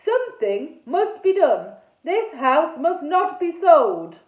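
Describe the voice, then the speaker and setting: A woman's voice: high voice, very animated, unhurried, recorded from a distance. A woman voicing a pompous old male ghost knight in armour for a children's story, with formal, indignant delivery. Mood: commanding. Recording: phone-like thin sound, roomy echo.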